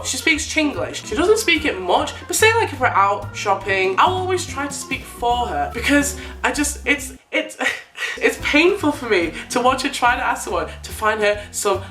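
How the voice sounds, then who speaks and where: A woman's voice: fairly high pitch, a bit bright, reverberant. A woman in her twenties with a Yorkshire accent, whose first language was Mandarin, ranting bluntly and sarcastically in a vlog, with plenty of swearing. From England. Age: 20s. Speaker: vlogger.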